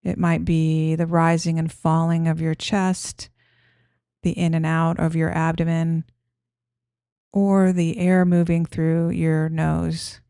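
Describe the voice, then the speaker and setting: A woman guiding a meditation in a gentle, soothing, unhurried manner, giving simple instructions. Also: low voice, flat delivery, vocal fry, clean tone, dry recording, clean studio sound. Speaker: meditation guide.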